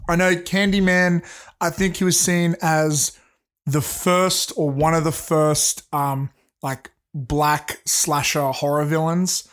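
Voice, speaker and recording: Man, podcast co-host, sore throat, rambling, full of ums, sits a bit higher in pitch. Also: little pitch movement, slow pace, a bit bright. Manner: rambling, hesitant, swears. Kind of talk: casual chat.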